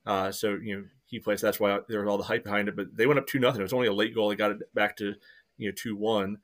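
A man's voice: on the low side, little pitch movement, quick pace, fairly bright tone. A man on a fan podcast recalling things hesitantly, with many fillers. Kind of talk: casual podcast chat.

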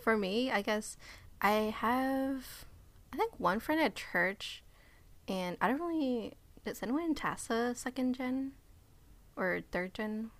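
A woman's voice: a little high, animated, slow pace. A young adult woman whose first language is Cantonese, talking casually in a hesitant way with many fillers and trailing-off phrases. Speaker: participant. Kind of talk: casual conversation.

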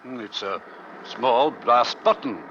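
An actor playing a resolute, authoritative sea captain in an old-time radio drama. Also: male voice, mid-range voice, animated. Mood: brisk, curious. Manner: brisk, authoritative. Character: commanding, resolute male sea captain of an Arctic expedition. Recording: dull, noisy vintage radio recording.

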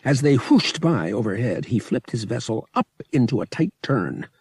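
Expressive male narrator with creaky, husky voice. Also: medium pitch, normal speed, dry recording, clean studio sound. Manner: dramatic, expressive. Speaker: audiobook narrator. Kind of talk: dramatic scripted fiction narration.

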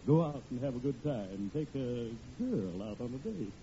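A man's voice: medium pitch, normal speed, close to an everyday voice. Man acting a blustery, swooping-voiced store boss. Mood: wheedling. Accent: American. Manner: excitable, stammering, wheedling. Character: blustery, scheming middle-aged store boss, doting father. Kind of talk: old-time radio sitcom acting. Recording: muffled, noisy vintage radio recording.

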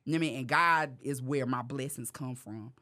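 A woman comedian telling stories in a casual interview. She laughs often, fills her talk with "you know what I mean", and jumps into high voices when she quotes herself. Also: brisk, sharp tone. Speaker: comedian guest.

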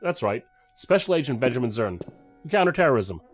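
An actor playing a self-assured, guarded counterterrorism agent in an audio drama, formal and deliberate with dramatic pauses. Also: male voice, mid-range voice, big pitch swings, soft background music, natural voice.

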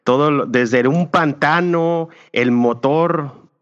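A man's voice: on the high side, slow pace. A man hosting a podcast, introducing his guest and asking questions in an upbeat, formal way. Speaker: podcast host. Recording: dull lo-fi sound.

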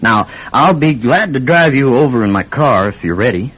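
An actor playing a folksy, courteous small-town police chief in a vintage radio drama, plain-spoken and obliging. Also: male voice, medium pitch, expressive, moderate pace, a bit bright, slightly rough. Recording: dull lo-fi classic radio recording.

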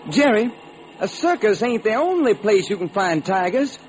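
A man's voice: sits high, big pitch swings, moderate pace, a little hiss or hum, rough recording. A man playing an eager, plodding police lieutenant in an old-time radio crime drama. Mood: musing, suggesting.

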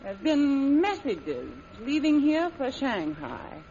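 A woman's voice: high-pitched, big pitch swings, takes it slowly, mellow, exaggerated voice. An actress playing a bold, cunning woman secret agent, taunting and commanding, in 1930s radio serial style. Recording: muffled, noisy 1930s radio recording.

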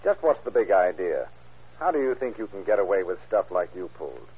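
Unflappable, sardonic male sergeant, lowish voice with lively swings, vintage radio crime drama. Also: a little fast, breathy edge, full character voice. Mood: probing. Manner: dry, sardonic questioning. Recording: tinny, muffled old radio recording.

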